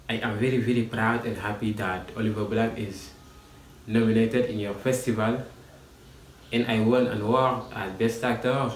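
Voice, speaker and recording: Senegalese-accented young man, low voice, echoey room. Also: far from the mic. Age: young adult. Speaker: actor accepting an award. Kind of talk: prepared acceptance speech. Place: small plain room, video message. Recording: echoey room with clear background noise.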